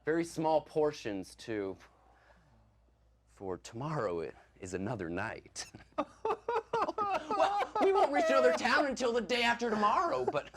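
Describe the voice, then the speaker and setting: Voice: medium pitch, big pitch swings, a little slow, pauses often, heavy background noise. An actor playing a pompous, power-drunk stage player who lords it over a troupe in grandiose, declaiming style. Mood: gloating, commanding. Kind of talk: theatrical stage play acting.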